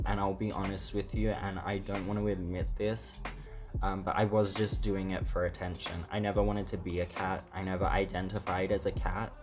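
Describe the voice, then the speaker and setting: A woman losing her voice, speaking in halting, apologetic video clips. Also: very deep voice, little pitch movement. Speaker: influencer in clips. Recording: clips played over music.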